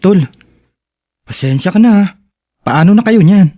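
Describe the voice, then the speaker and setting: A gentle young man in a radio drama, earnest and apologetic. His voice is high for a man, mellow and a bit husky. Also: lively intonation, unhurried. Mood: concerned. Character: concerned, cash-strapped young male friend, gentle and apologetic.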